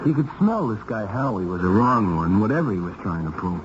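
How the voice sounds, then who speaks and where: Lively, hard-boiled American cop. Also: male voice, lowish voice, a little fast. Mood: reflective. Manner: wry, slangy, hard-boiled. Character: baby-faced cop, wry, skeptical, hard-boiled. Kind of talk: 1970s radio drama acting. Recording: noisy, dull old radio recording.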